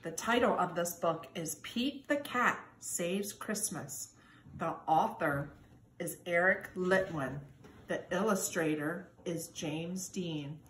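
A woman in her forties, a teacher, reading a children's storybook aloud in an expressive, singsong way. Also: on the low side, takes it slowly. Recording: echoey room with background noise.

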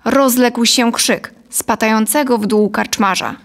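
Narrator, woman in her thirties: scripted storytelling, higher-set voice, noticeable fry, close studio mic. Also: unhurried. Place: dim studio set, indoors. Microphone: close studio condenser microphone.

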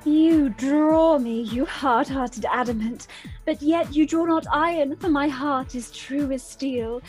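High-pitched, lovesick young woman played by an actress. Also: slow pace, soft background music. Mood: pleading, adoring. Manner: pleading, ardent, insistent. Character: lovesick, doggedly devoted young woman chasing a man. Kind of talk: Shakespearean radio-play acting.

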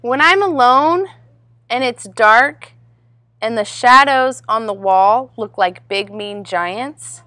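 A woman voicing a timid, fearful storybook cat with a drawn-out, confessing delivery. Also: on the high side, bright, forceful tone, no room sound. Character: timid cat afraid of the dark.

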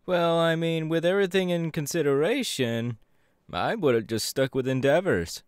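A man's voice: fairly high pitch, normal speed, smooth, no echo, studio quality, close to an everyday voice. A man playing an upbeat, easygoing young electric superhero in a casual, chatty audio roleplay. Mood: friendly, chatty. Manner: casual, animated, hesitant with fillers. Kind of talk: audio roleplay, chatty anime character acting.

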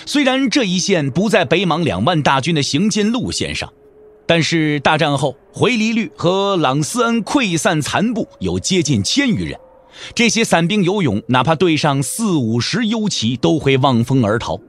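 A man's voice: on the high side, sing-song, normal speed. A man giving dramatic scripted narration as the storyteller of a martial-arts audio drama.

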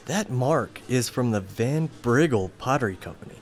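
A man's voice: medium pitch, expressive, moderate pace, lightly acted. A man playing a polite, puzzled antiques appraiser in a comedy sketch. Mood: courteous, expert.

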